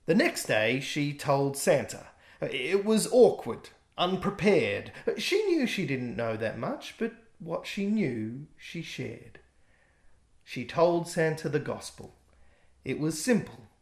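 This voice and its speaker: Guy reading a rhyming kids book out loud, slow and lively, kinda echoey room. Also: on the high side. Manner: gentle, expressive read-aloud. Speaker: author reading his book. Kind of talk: rhyming storybook narration.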